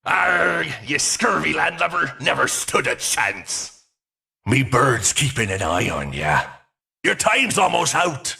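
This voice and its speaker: Gravelly, swaggering animatronic pirate fox. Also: male voice, a little high, very animated, takes it slowly, pushed and bright, exaggerated voice. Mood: gleeful menace. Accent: stage pirate. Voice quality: gravelly growl. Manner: boisterous, theatrical, taunting. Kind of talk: video game character voice lines.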